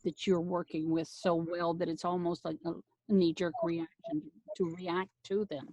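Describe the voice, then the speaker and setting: A woman with a slightly low voice talks informally among fellow voice actors. She explains her way of working conversationally. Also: steady tone, measured pace, warm tone, poor audio. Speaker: amateur voice actor. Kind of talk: casual panel chat.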